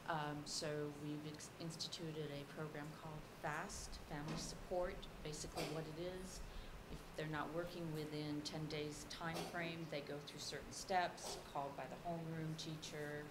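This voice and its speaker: A woman school executive director giving a brisk, businesslike briefing at a public board meeting. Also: low-pitched, little pitch movement. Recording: noisy meeting room audio.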